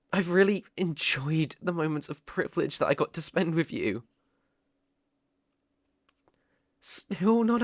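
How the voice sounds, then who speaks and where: A man plays a devoted British butler in a romantic ASMR roleplay. He speaks slowly in a slightly high male voice, proper and deferential, with a hesitant edge. The sound is dry and intimate. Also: no echo, exaggerated voice. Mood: shy, confessing. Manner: polite, hesitant, stammering, deferential.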